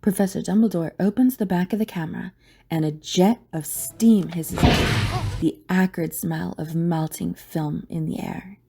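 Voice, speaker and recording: A woman's voice: fairly low pitch, steady tone, unhurried, creaky, mellow, a little hiss or hum. A woman reading scripted, dramatic story narration for an audio drama.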